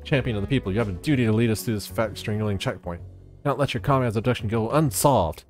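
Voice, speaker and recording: A man's voice: on the low side, fast talker, faint music behind, close to an everyday voice. Man doing a bombastic tabloid conspiracy reporter. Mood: grandiose. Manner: bombastic, declamatory, stammering in alarm. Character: excitable conspiracy-minded male tabloid reporter, pompous and dramatic.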